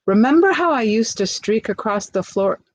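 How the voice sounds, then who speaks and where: A woman's voice: on the high side, animated, normal speed, lo-fi sound. A woman voicing aging green snakes in a storybook, boastful and cozy as they ramble about their glory days. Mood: boastful, nostalgic. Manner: rambling, gabby reminiscing.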